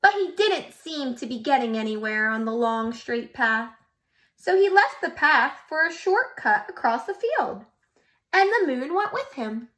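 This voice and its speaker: A woman preschool teacher reading a picture book aloud to young children, expressive and pausing to ask them questions. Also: sits high.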